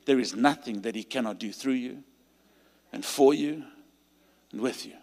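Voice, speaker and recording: South African preacher in a reverberant hall. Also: male voice, medium pitch, moderate pace. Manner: rising emphatic delivery, quiet pleading prayer. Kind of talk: sermon with altar call. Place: large church hall.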